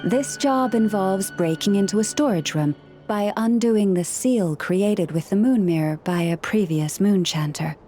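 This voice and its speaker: A woman voicing a gentle young woman who hears animals, speaking softly and patiently with a slightly wry touch. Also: medium pitch, measured pace, soft background music, lightly acted. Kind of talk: game character dialogue.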